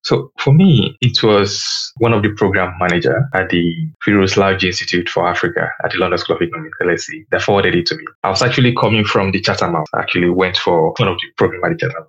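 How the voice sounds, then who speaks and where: A young man with a deep, husky voice. He answers conversationally as an interview guest, heard over a slightly dull remote connection. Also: a bit bright. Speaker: student guest. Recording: muffled video-call audio.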